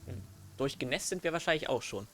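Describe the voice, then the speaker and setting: A man's voice: mid-range voice, expressive, quick pace, noisy background. A man who is a native German speaker, giving casual, hesitant gaming commentary as a let's play host. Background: game music.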